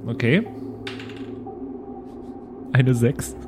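A man's voice: mid-range voice, expressive, extremely slow, faint music behind. A man playing in an improvised tabletop role-playing audio play, bantering casually in and out of character.

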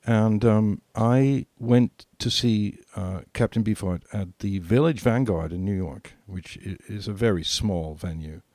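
A man's voice: fairly low pitch, measured pace, few pauses, dark tone, dry recording. An older British man reminiscing as a podcast co-host, repeating phrases for emphasis. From Britain.

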